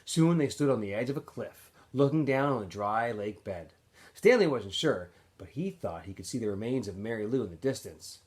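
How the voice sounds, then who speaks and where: A man reading a novel aloud as a teacher would for students, in an expressive, animated storytelling way with phrases that often trail off softer. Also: on the low side, normal speed. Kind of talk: expressive audiobook-style read-aloud narration.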